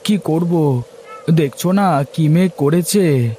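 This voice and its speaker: A man's voice: on the high side, slow pace, mellow, a little hiss or hum. A man voicing an earnest, put-upon village farmer and husband in a cartoon story, plain-spoken and complaining. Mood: affectionate, puzzled. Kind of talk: Bengali cartoon story voice acting.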